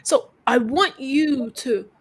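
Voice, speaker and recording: Earnest higher-voiced woman telling her story. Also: takes it slowly, breathy edge. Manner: earnest, coaching, repeats phrases for emphasis; calls herself quiet-voiced. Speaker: interview guest, businesswoman. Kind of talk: reflective motivational storytelling.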